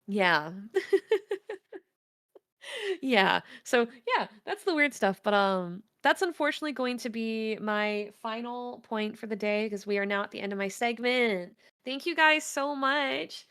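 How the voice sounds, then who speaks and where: Deep-voiced woman giving a casual talk. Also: measured pace, fairly bright tone, clear, resonant tone. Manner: chatty, candid, hesitant with many fillers. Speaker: guest presenter. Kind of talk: casual presentation on a livestream.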